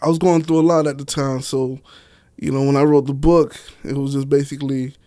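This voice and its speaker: A man's voice: mid-range voice, level tone, a little fast, dark tone, dry recording, clean studio sound. A man from Miami talking as a guest in a casual podcast chat, candid and slangy. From Miami, United States. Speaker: interview guest, author and stage play director.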